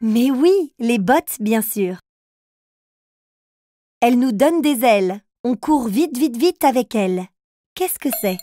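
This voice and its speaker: Encouraging riddle lady for toddlers, highish voice, playful questions, bit of music under it. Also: lively intonation, slow pace, pauses often. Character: encouraging grown-up woman riddle mistress for small children. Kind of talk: children's riddle game narration.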